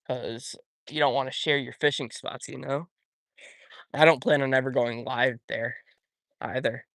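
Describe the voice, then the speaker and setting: A young man chatting loosely while he fishes by a pond, rambling from one thing to the next and answering his viewers as he goes. His voice sits at an ordinary middle pitch, and the recording is dry and close with no room sound. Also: steady tone, unhurried, gravelly, fairly bright tone, dry recording. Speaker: fishing YouTuber. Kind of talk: live-stream chat while fishing. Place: outdoors by a pond.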